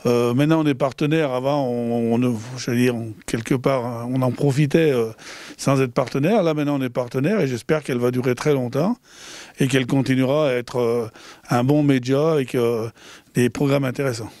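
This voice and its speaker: A man speaking as a local community council president in an interview, halting and repeating words. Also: medium pitch, steady tone, a little mellow, dry recording. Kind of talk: interview statement.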